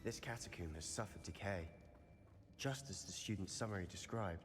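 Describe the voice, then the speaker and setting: A man voicing a low-voiced young wizard mentor. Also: normal speed, close to an everyday voice. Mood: calm. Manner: calm, persuasive, conspiratorial. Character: young male wizard student, confident mentor. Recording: game voice over loud music. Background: game music.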